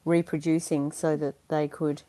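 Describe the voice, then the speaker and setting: Low, mellow-voiced woman. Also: little pitch movement, moderate pace. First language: Dutch. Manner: chatty and earnest, laughs easily, many fillers. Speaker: interview guest, performer. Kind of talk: conversational interview.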